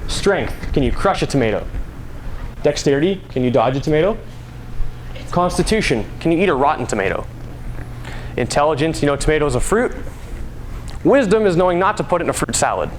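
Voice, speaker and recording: A young man speaks to an audience in a voice pitched a little high. He is conversational and playful, telling things like a story in an informal public talk. Faint background noise runs behind him in the live recording. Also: big pitch swings, brisk, pauses often, rough recording.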